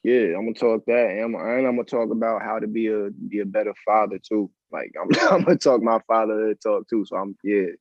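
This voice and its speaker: A man's voice: lowish voice, fairly quick, hardly any pauses, no echo, highs cut off. A man who is a guest on a podcast, speaking casually and laughing as he reminisces. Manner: laughs often, relaxed and reminiscing. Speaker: guest artist. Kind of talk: casual conversation.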